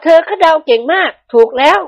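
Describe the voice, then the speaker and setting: A woman's voice: fairly quick, lightly acted. A woman voicing a genteel wealthy lady of the house who speaks sweetly and politely in a storybook reading.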